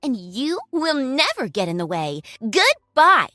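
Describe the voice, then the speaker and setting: A woman's voice: sits high, very animated, moderate pace, pushed and bright, no echo, studio quality, faint music behind, pitched above the performer's own voice, full character voice. A woman voicing a haughty, cruel young woman who shrieks insults. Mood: scornful.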